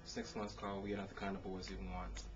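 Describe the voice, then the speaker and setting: A man's voice: deep voice, completely flat delivery, quick pace. An American man giving a spoken word poetry reading with casual introductions, phrasing his words expressively and with emphasis. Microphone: camcorder microphone. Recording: dull, noisy consumer camcorder sound.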